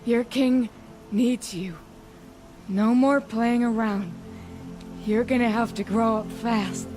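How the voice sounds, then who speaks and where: A wounded tough big sister warrior in a game, slow halting talk, short of breath. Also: female voice, fairly high pitch, steady tone, over music. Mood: strained, urgent. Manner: blunt, halting. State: weak, coughing, labored breath.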